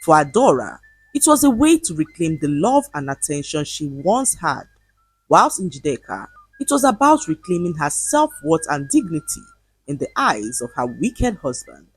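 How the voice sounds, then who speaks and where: A woman narrating a folktale from a script in an expressive, dramatic storytelling manner. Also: low-pitched, normal speed, slightly rough, no room sound, soft background music, low-quality recording.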